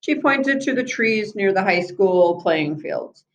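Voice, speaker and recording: A woman reads a children's story aloud at a slow, unhurried pace. Her pitch sits in the middle of the female range. She narrates expressively, like a storyteller addressing young listeners. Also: rough recording. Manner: expressive, acts out the children's dialogue. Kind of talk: children's book read-aloud with asides.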